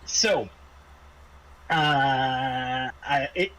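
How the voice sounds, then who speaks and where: A man running a tabletop roleplaying game, casually briefing his players in a halting, explanatory way with frequent hesitations and restarts. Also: mid-range voice, fairly bright tone, a little hiss or hum, rough recording. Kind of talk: semi-improvised briefing to players, narrating a scenario.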